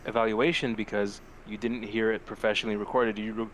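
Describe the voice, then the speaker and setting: A man co-hosting a casual podcast, talking in an animated, slangy way and swearing freely. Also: on the low side, brisk, a little hiss or hum, rough recording. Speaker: podcast co-host. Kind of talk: casual movie-review banter.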